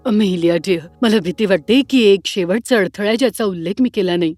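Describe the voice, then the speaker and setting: A woman voicing a concerned, apologetic and supportive schoolteacher in an animated fairy tale, speaking carefully. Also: mid-range voice, a little fast, no room sound, natural voice. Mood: uneasy warning.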